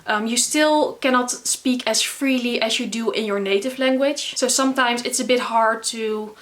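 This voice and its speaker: A young Dutch woman with a Dutch accent, talking casually to camera in English, often pausing with fillers. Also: on the high side, a bit bright. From the Netherlands. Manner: chatty, frequent filler words and restarts. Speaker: booktuber. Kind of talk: casual vlog talk to camera.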